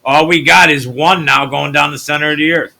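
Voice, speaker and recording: A man from the US Midwest with a naturally deep voice, hosting a channel and talking loosely through his screen, trailing off into mutters. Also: steady tone, brisk, gravelly, bright, forceful tone, poor audio. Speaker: channel host. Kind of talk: rambling screen-share commentary.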